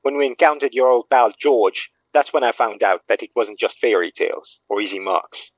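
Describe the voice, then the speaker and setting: A man's voice: mid-range voice, moderate pace, dry recording, lo-fi sound, lightly acted. A man playing an evasive phony magician in an audio drama, wry and a little defensive. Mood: candid, uneasy.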